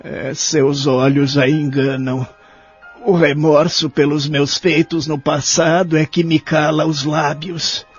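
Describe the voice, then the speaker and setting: A man's voice: fairly high pitch, level tone, slow pace, slightly rough, heavily acted. A man playing a lonely, remorseful elderly care-home resident in an audio drama, speaking earnestly and wistfully. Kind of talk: audio drama acting and first-person narration. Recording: dull lo-fi audio, faint music behind.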